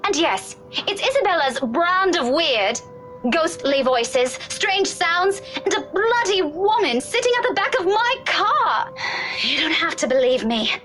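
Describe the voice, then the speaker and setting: A woman's voice: extremely high pitch, big pitch swings, unhurried, fairly bright tone, soft background music, full character voice. A woman voicing a sarcastic, exasperated young woman in a visual novel. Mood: stern. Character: young woman, sharp-tongued, fed up. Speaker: game narrator and player.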